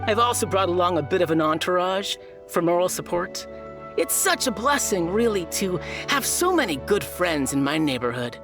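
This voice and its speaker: A smug, self-satisfied video game contestant who boasts about being perfect. Her voice rises and falls lively over soft game music. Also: fairly low pitch, normal speed.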